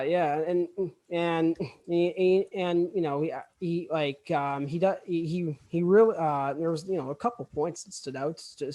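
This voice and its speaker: Young dude doing a podcast intro, bit higher voice, stammers and repeats himself a lot. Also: male voice, darkish tone. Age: young adult. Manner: halting, stammering with repeated words. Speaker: student podcast host.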